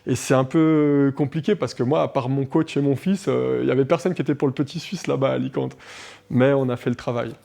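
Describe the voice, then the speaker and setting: A man in his forties, raised in Switzerland, talks about running a martial arts dojo in a local TV interview. His voice is mellow and dark at a middle pitch, and he speaks with animated energy, often stopping to restart a sentence around fillers. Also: a little fast, creaky. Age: 40s.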